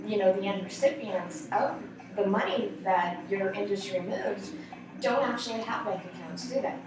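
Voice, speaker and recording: A woman's voice: mid-range voice, moderate pace, crowd noise. An enthusiastic woman host with a mid-range voice, encouraging her guest through a casual interview. She sounds distant from the mic, her voice ringing in a very echoey conference hall.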